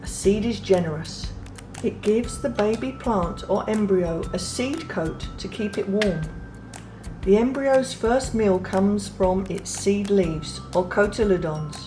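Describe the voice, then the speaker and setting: Slow, gentle woman reading a picture book. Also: mid-range voice. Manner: gentle, unhurried reading with soft pauses. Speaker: children's book reader. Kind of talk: read-aloud storybook narration. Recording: read over clear background music.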